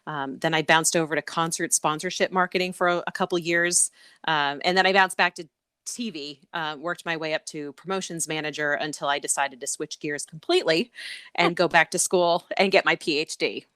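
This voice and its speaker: A woman's voice: low voice, steady tone, normal speed, fairly bright tone, clear, resonant tone, dry recording. A middle-aged woman from Connecticut, a professor being interviewed, talking casually with many ums and easy laughs. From Connecticut, USA. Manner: frequent "um" fillers and repeats, laughs easily. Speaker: guest, associate professor.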